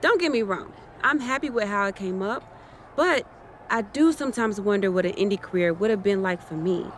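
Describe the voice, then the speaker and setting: A woman's voice: medium pitch, brisk, a little hiss or hum, close to an everyday voice. An actress playing a self-assured female wrestling challenger with a teasing manner. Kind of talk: video game cutscene acting.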